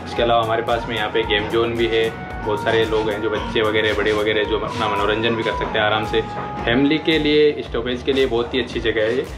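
A man's voice: brisk, over music. A man in his thirties, a restaurant manager giving a welcoming promotional talk indoors. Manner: even, welcoming pitch for the place.

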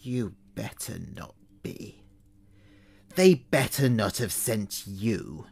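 A man's voice: lowish voice, lively intonation, slow, vocal fry, darkish tone, breathy edge, exaggerated voice. A man voicing a suspicious old woman witch who lives alone, speaking sharply and interrogating. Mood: alarmed, demanding.

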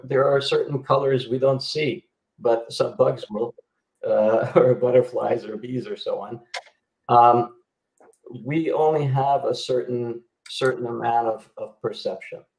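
A man's voice: medium pitch, a little mellow, echoey room, low-quality recording. A middle-aged man from Yugoslavia, a healer guest explaining his work over a video call, with many fillers and restarts. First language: Hungarian. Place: indoor home room.

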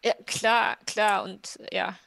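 A woman's voice: medium pitch, steady tone, takes it slowly, vocal fry, a bit bright. A woman with a mid-range voice plays in a tabletop roleplay. She improvises her lines casually, talking in character like everyday conversation. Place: indoor room at home, video call.

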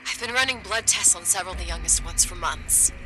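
A woman in her thirties with an American accent, playing a driven army researcher giving brisk instructions in a video game. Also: on the low side, music in the background, lightly acted. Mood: firm. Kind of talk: naturalistic video game acting.

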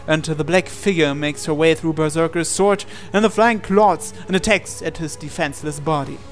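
A man reading a visual novel aloud as a dramatic narration, with deliberate pauses. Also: a little high. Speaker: gaming channel host. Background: game music.

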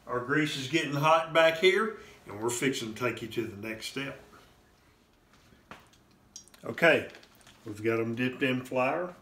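Man, 50s, homespun cooking host, slow and easygoing, recorded in an echoey kitchen. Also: medium pitch, animated, bright, forceful tone, slight background noise. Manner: plain-spoken, homespun, walks through each step. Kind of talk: step-by-step cooking demonstration. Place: home kitchen, indoors. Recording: roomy kitchen echo.

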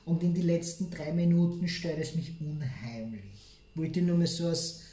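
A man's voice: a little high, normal speed, dark tone. An echoey recording of a man with an Austrian accent. He banters as a co-host in a silly, theatrical way, casual and comic throughout.

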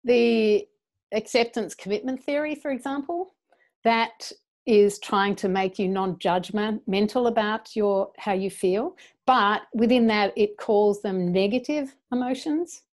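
A woman's voice: medium pitch, unhurried. Passionate woman on a video call. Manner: passionate, animated, gestures a lot with her hands. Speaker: guest educator. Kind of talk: interview over video call. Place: small indoor room. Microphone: earphone mic on a video call.

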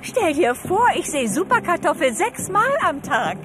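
A woman's voice: very high-pitched, big pitch swings, unhurried, background noise, heavily acted. A woman voicing a kindly, busy grown-up animal lady in a children's cartoon audio play, with a helpful manner. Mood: friendly.

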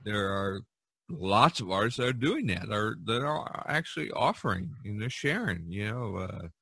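An older man hosting a podcast, chatting casually in a halting, folksy way. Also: on the low side, lively intonation, gravelly, pushed and bright, breathy edge, dry recording. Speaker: podcast host. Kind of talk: casual chat and podcast sign-off.

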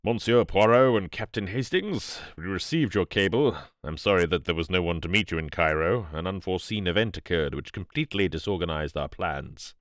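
A male narrator acts out a steadfast, grey-bearded British expert in a storybook reading. He is formal and courteous, measured in his delivery, with a low pitch and a close, dry sound. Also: fairly bright tone, dry recording. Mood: grave, apologetic.

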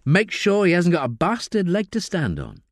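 Give me a brisk woman police detective, firm and clipped, as a man reads her. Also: high voice, wildly swooping pitch, dry recording, clean studio sound, pitched above the performer's own voice, full character voice.

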